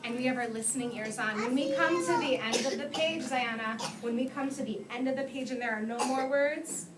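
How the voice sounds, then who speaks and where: A woman's voice: high-pitched, fairly quick. A woman teaching young children during a read-aloud, speaking in simple, repeated instructions and prompting questions. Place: classroom, recorded from across the room. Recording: echoey room, distant mic, loud children's chatter. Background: young children talking.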